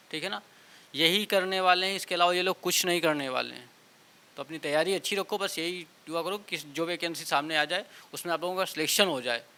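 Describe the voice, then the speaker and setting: High-pitched, creaky man coaching. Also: pushed and bright. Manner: repeated check-in tags, colloquial advising. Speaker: exam coaching teacher and channel host. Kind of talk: informal exam-prep talk to students. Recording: clear background hiss.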